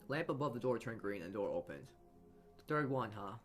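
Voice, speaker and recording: A man's voice: on the low side, big pitch swings, fast. A man reading a visual novel aloud as a let's play host, stumbling over words and rereading phrases as he goes. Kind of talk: reading narration aloud with side comments. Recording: music behind the voice. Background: game music.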